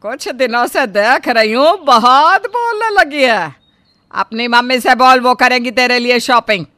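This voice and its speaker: A woman voicing a bossy, sharp-tongued older mother-in-law who scolds and commands her family. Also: fairly high pitch, expressive, unhurried, no echo, studio quality. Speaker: voice actor. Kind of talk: Hindi storybook drama acting.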